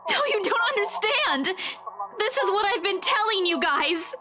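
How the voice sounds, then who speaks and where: Visual novel role: distraught, insistent young woman, extremely high pitch, grief and fear throughout. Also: very animated, a little slow, background noise, heavily acted. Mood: panicked. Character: frightened, grieving young woman insisting a ghost killed her friend. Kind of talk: visual novel game voice acting. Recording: loud game music behind. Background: music.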